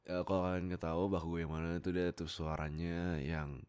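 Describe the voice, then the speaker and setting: A man's voice: deep voice, little pitch movement, fairly quick, a little mellow, clean tone. A young man, chatting casually and self-deprecatingly to his followers about his life as a voice actor, on a close microphone. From Indonesia. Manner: informal, self-deprecating, giggly. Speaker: amateur voice actor and content creator. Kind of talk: casual storytelling chat to followers. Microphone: close USB microphone.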